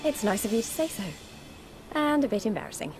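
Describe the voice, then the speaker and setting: A woman's voice: a little high, expressive, brisk, over music, lightly acted. A woman voicing an earnest, spirited young resistance fighter in a video game cutscene. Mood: sheepish, friendly.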